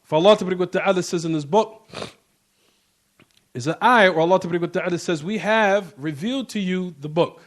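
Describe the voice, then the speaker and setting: Male Islamic class teacher, notably high voice, emphatic informal lecturing with repetition and rhetorical check-ins. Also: brisk. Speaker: religious teacher giving a class.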